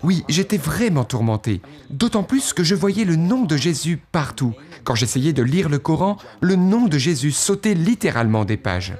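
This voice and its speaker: A man giving an earnest first-person testimony, storytelling with dramatic pauses as he recounts what he thought and felt. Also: on the high side, sing-song, a little mellow.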